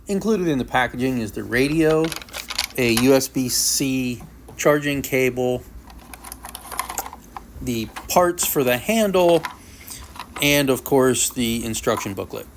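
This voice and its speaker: A man's voice: medium pitch, animated, takes it slowly, long pauses, slight background noise. A man with a mid-range voice presents a product review. He explains each feature and step in a plain, practical manner, as in an instructional unboxing video.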